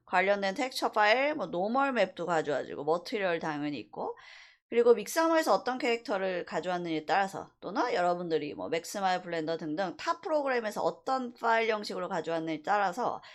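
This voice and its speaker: A woman instructor giving an informal software tutorial, explaining step by step and stressing key points. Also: medium pitch. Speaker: university animation instructor.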